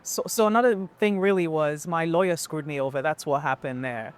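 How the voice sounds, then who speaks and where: A woman in her thirties from Nigeria, a guest telling her story with earnest, expressive feeling, laughing often, seated in a restaurant. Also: low-pitched, fairly quick, clear, resonant tone. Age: 30s. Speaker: guest filmmaker. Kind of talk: candid storytelling.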